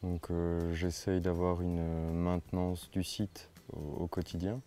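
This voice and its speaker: Belgian guy, really deep soft dark voice, hesitant measured interview talk. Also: flat delivery. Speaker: farm project founder. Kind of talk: documentary interview, spontaneous speech.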